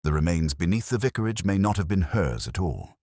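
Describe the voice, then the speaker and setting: A man's voice: low voice, very animated, fairly quick, a little mellow, no echo, clean studio sound, full character voice. A man voicing a coolly precise, commanding Victorian detective with curt, cerebral delivery in an audiobook. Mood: intent. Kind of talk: audiobook character dialogue.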